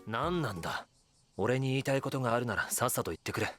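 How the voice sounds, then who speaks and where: A man's voice: medium pitch, animated, very fast, fairly bright tone, no echo, faint music behind, lightly acted. A man playing a blunt, aloof young man in Japanese anime.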